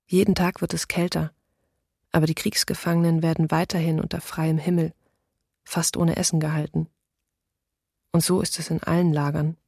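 A woman's voice: sits low, flat delivery, normal speed, creaky, long pauses, darkish tone, no echo, clean studio sound. A woman playing a grave, restrained diarist who bears witness to occupation in a documentary radio play.

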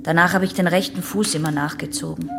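A woman's voice: on the low side, fairly even intonation, fast talker, background noise, close to an everyday voice. An actress playing a guarded, resisting young female patient in a German radio play, answering in a terse, defensive way. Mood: reluctant recollection. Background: music.

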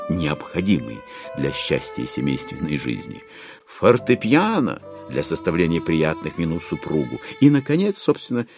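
An actor playing a wry, digressing storyteller, narrating a dramatized classic novel in an ironic, expressive, musing way. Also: male voice, sits low, creaky, full character voice. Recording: music under the voice.

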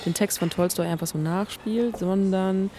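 A woman's voice: mid-range voice, steady tone, normal speed, mellow. A woman talking casually in an interview as a theatre performer, explaining and restarting sentences.

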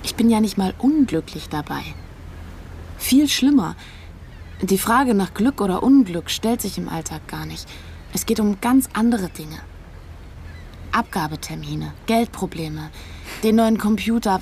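A woman's voice: medium pitch, expressive, measured pace, darkish tone, slight background noise. An actress playing a searching, disillusioned young woman in a naturalistic radio drama, talking pensively. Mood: disillusioned, musing.

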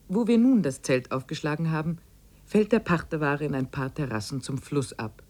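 Observant wilderness diarist, measured and descriptive, literary narration for vintage radio play. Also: female voice, low voice, darkish tone. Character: observant expedition diarist recounting days in the wilderness. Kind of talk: literary first-person narration in 1950s German radio drama.

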